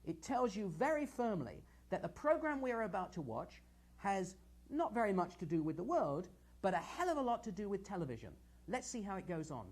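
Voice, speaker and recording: A man hosting a live television show, giving energetic, emphatic and conversational analysis of the media. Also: high voice, a little fast. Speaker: television host and news critic.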